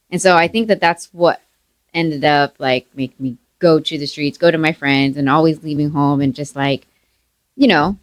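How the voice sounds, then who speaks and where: A woman's voice: low voice, no room sound. A woman hosting a podcast, asking curious follow-up questions in a casual way. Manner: curious, asks follow-up questions, laughs easily. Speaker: podcast host. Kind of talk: casual interview.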